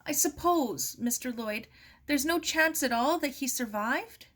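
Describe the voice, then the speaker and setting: A woman voicing a grieving young woman in a storybook, with a high, thin voice and brittle, polite calm. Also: unhurried. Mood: anxious, polite. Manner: polite, restrained, fragile composure. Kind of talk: storybook read-aloud.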